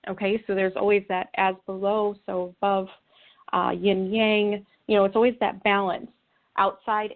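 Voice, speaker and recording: A woman guest explaining her views in an interview, earnest and reflective, with halting phrasing. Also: medium pitch. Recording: thin phone-like call audio.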